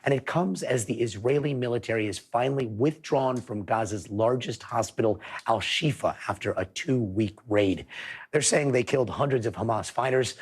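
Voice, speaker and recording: A hoarse man anchoring a news show, reading stories with playful puns in between. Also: fairly low pitch. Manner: punny, playful asides. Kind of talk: news presenting.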